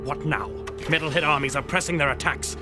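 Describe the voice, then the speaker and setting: An actor playing a smug, scheming guard commander in a video game cutscene. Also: male voice, a little high, brisk, music in the background, lightly acted.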